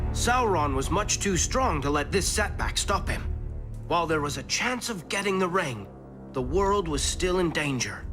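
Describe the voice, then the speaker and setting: A kindly hobbit telling a storybook adventure in a fantasy game. The voice is on the high side and rises and falls with drama, over loud music. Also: male voice. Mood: animated, kindly. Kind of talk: scripted storybook narration. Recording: loud music under the narration.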